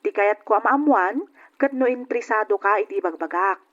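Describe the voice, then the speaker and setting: A woman's voice: mid-range voice, normal speed, natural voice. A woman acting a generous, outspoken cousin in an old radio drama, frank and persuasive in her talk. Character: generous, outspoken female cousin back from abroad. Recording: dull lo-fi old radio recording.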